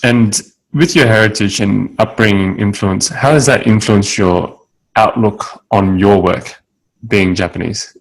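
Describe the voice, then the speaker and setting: A man hosting an interview in a friendly way, with quick nods and affirmations. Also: on the low side, vocal fry, poor audio. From Australia. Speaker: interview host. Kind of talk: casual interview talk.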